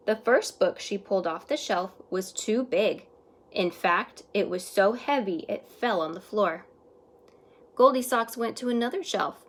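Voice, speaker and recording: Woman reading a children's storybook expressively. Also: a little high. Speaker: teacher reading to students.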